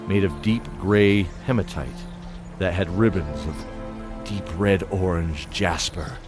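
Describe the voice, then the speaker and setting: A man narrating a scripted fantasy audio drama with dramatic, measured storytelling. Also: fairly low pitch.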